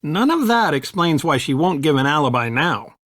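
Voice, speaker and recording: Middle aged american dad talking thru a case, analytical, supportive, dry studio sound. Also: male voice, fairly high pitch, sing-song, normal speed, a bit bright, dry recording. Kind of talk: video game dialogue acting.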